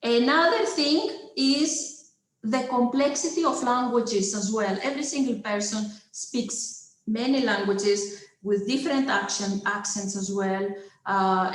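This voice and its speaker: A woman's voice: mid-range voice, steady tone, unhurried, roomy sound, poor audio. A woman with a Greek accent giving an online lecture over a video call, hesitant, with many filler sounds, checking in with her listeners. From Greece.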